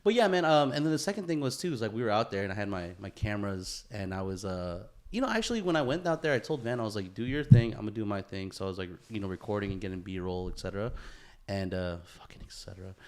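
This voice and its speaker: An American man co-hosting a podcast, chatting casually and peppering his animated banter with slang. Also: on the low side, fast talker. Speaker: podcast co-host. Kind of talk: casual chat.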